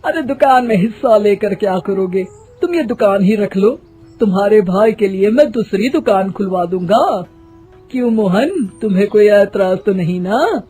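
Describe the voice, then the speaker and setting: A man playing a shrewd, experienced elderly father and shopkeeper in a cartoon story, measured and paternal. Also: high voice, lively intonation, warm tone, soft background music. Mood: indulgent.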